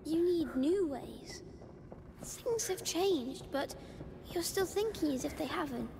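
A woman voicing a solemn, ghostly young boy in a video game, speaking in a deliberate, cryptic and reproachful way. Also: high-pitched, lively intonation, gravelly, noisy background, heavily acted. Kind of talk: dramatic video game acting.

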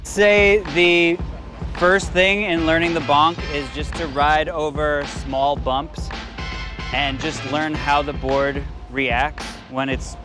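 A man's voice: a little high, steady tone, slow, music in the background. A man giving plain, step-by-step riding instruction. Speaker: trick instructor. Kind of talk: casual instruction.

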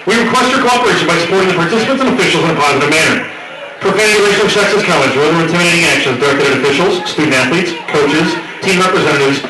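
A man's voice: a little high, far from the mic. An American man working as a stadium public-address announcer, reading formal introductions in a projected voice and stretching out names for emphasis. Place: outdoor stadium at night, over loudspeakers. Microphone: PA microphone, picked up from far off. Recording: echoey stadium PA from afar, crowd noise. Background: crowd and stadium noise.

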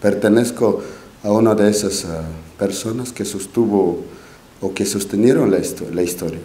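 A young Quechua-speaking man with an Andean accent addresses a forum from a podium mic, pausing often and restarting his phrases. His voice sits low and mellow, and he speaks slowly. The auditorium gives it a roomy echo. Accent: Quechua-speaking Andean Spanish. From Peru, Q'ero nation. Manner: halting and modest, with many restarts and fillers. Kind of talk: speech at a podium. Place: large auditorium stage. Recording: echoey auditorium, faint room noise.

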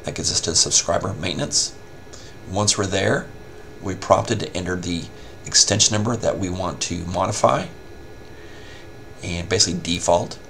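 A man giving a casual, step-by-step software tutorial as a help desk instructor. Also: sits low, moderate pace, gravelly, a little hiss or hum. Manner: informal step-by-step explaining.

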